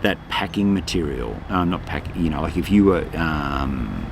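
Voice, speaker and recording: Deep-voiced older Australian man. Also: animated, moderate pace, gravelly. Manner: rambling, self-interrupting, chatty. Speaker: voice artist guest. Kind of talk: casual interview chat. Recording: noisy outdoor street ambience.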